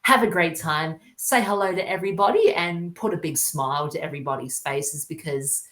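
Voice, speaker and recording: A woman chatting casually as a podcast guest, halting and often repeating her phrases. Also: low voice, fairly bright tone. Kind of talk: casual chat. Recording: roomy, echoey sound.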